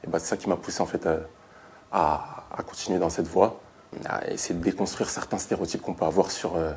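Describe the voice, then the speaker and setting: A man in his thirties from France, a photographer speaking in a colloquial, emphatic and animated way. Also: low-pitched, fairly quick, a little hiss or hum. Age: 30s. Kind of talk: documentary interview testimony.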